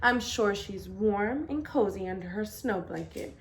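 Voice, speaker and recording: A woman voicing a gently reasoning mother in a storybook, coaxing with emphasis. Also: medium pitch, lively intonation, unhurried. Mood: coaxing, reasonable.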